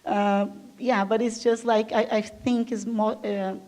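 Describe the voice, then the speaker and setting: A woman from Brazil, a native Portuguese speaker, speaks to a live audience at a design event. She talks with enthusiasm in a chatty, loose way, often leaning on fillers, at a medium pitch. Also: little pitch movement, measured pace, darkish tone. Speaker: guest speaker. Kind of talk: conference talk.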